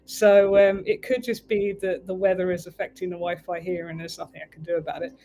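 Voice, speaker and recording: A woman's voice: on the low side, flat delivery, fairly quick, warm tone. Chatty English woman, livestream host. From United Kingdom. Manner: chatty, meandering, often breaks off to fix the stream. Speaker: tarot reader and livestream host. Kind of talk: casual livestream chat.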